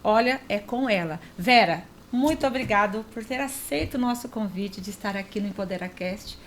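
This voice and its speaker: A woman's voice: medium pitch, moderate pace, a little hiss or hum. A Brazilian woman hosting an interview podcast, chatting enthusiastically and effusively, often addressing her audience directly. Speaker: podcast host.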